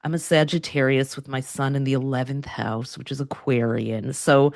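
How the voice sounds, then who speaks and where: A woman's voice: extremely low pitch, steady tone, normal speed, creaky, darkish tone, dry recording, clean studio sound. A woman hosting an interview, talking about astrology in an enthusiastic, chatty way. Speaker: host, astrologer. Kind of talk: conversational interview.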